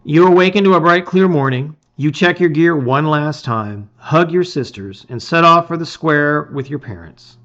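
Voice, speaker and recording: A man's voice: a little high, moderate pace, few pauses, fairly bright tone, muffled recording. A man reading a gamebook aloud as a dramatic story, with theatrical, emphatic phrasing.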